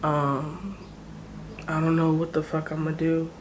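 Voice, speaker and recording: A woman's voice: sits low, little pitch movement, unhurried, a little hiss or hum. A woman in her twenties from South Jersey with a South Jersey accent, tearful and tired, venting frankly and with plenty of swearing about her struggles in a vlog. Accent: American, South Jersey. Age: 20s. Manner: frank, profane, weary. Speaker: vlogger. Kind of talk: emotional vent to camera.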